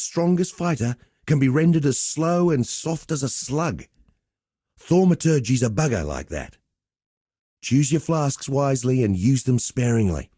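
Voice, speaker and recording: A man's voice: mid-range voice, dark tone, dry recording, clean studio sound, close to an everyday voice. A man playing a cocky, easygoing gladiator master in a video game, teasing with wry, conversational swagger. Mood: wry, instructive. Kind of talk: video game character dialogue.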